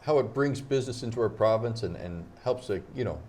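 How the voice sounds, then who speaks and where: A man hosting a casual local show, asking questions and reacting with quick upbeat interjections. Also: on the low side, expressive, a little mellow. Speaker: host. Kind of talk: casual chat.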